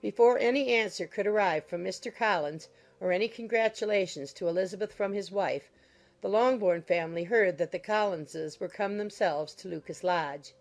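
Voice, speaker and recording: A woman's voice: medium pitch, normal speed. A woman giving scripted narration of a period novel as an audiobook narrator. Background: music.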